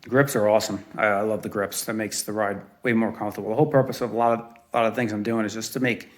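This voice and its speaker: A man's voice: lowish voice, fairly even intonation, rapid-fire. A man in his forties from southern Arizona giving a casual, rambling walkaround review at home outdoors, full of fillers and restarts. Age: 40s. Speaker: vlogger reviewing his own quad.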